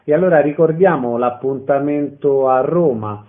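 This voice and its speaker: A man's voice: mid-range voice, moderate pace. A man interviewing an actress, asking brief, plain questions. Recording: phone-like narrow sound.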